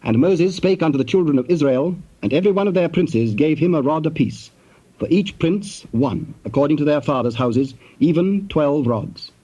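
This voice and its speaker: A man narrating scripture in archaic English, measured and formal, as a storyteller. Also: a little high, expressive. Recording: muffled tape with loud background noise.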